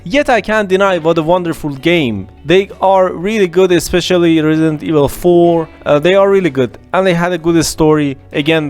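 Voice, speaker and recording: A man talking on his own podcast in a casual, opinionated monologue. His voice sits a bit high for a man, and his delivery is animated, with pauses and small restarts. Faint guitar music plays softly underneath. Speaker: podcast host.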